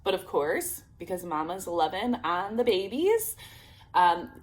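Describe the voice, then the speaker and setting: A woman's voice: fairly low pitch, sing-song, unhurried. A woman in her thirties chatting to camera as a homeschool vlogger, upbeat and full of fillers, speaking through a sore throat. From Illinois, United States. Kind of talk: casual chat to camera.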